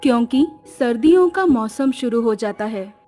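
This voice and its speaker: A woman's voice: fairly high pitch, lively intonation, normal speed, warm tone. A woman narrating a scripted horror story as a storyteller. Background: music.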